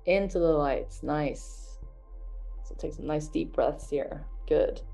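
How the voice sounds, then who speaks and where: Soft-spoken woman guiding a healing session. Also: low-pitched, creaky, faint music behind, low-quality recording. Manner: slow, soothing, repetitive guidance with soft murmured affirmations. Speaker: healing guide.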